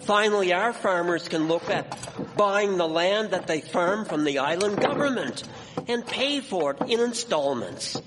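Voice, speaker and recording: Animated, formal 1870s townsman played by a man. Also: high voice, unhurried. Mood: proud, hopeful. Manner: formal, declamatory old-fashioned phrasing. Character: genteel, opinionated 1870s island townsman named James. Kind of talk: scripted period radio drama. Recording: echoey hall, clear background noise.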